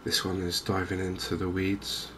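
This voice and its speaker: A soft-spoken man with an English accent giving unhurried, hesitant ASMR commentary over a video game. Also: sits low, completely flat delivery, background noise. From England. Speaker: gaming video host.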